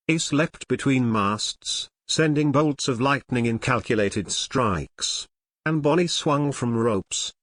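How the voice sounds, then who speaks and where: A man's voice: mid-range voice, a bit bright, no room sound, studio quality. A man narrating an audio drama in a scripted, dramatic storytelling style.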